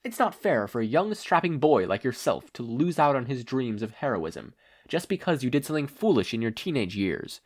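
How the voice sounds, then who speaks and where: A man voicing a courteous, theatrical gentleman hero from a story, with florid old-fashioned phrasing. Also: mid-range voice, sing-song, moderate pace. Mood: grandly magnanimous.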